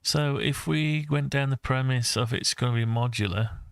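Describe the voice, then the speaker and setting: A man's voice: medium pitch, normal speed, smooth, no echo, studio quality. A man giving a casual design walkthrough, thinking aloud in a hesitant, musing way as he talks through his ideas. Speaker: presenter of a hobby design project video.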